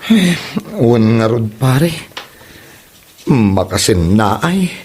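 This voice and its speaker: A man's voice: medium pitch, very animated, slow, a little mellow, slight background noise. A man playing a hapless young suitor in an Ilocano comedy drama, stammering, nervous and whiny, with an Ilocano accent. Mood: whiny, dejected. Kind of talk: comedy audio drama acting.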